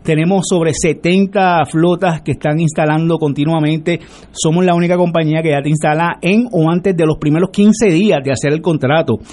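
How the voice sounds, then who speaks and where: A man with a Puerto Rican accent, a solar company representative giving a persuasive radio interview pitch and leaning on fillers like "eh". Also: fairly even intonation, normal speed, darkish tone. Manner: persuasive, filler-heavy with "eh" and "¿verdad?".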